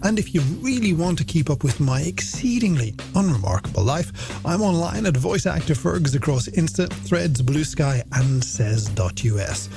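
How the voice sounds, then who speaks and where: A man's voice: a little high, very animated, normal speed, vocal fry. A middle-aged man with an Irish accent presenting a chatty late-night radio show in a playful, self-deprecating way, talking to listeners as friends. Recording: radio broadcast with music bed underneath. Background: music.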